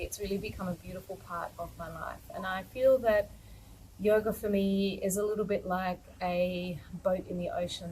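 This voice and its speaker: A woman in her thirties with an Australian accent, a yoga teacher speaking gently and earnestly in a personal message, with soft fillers. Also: fairly low pitch, slight background noise, low-quality recording.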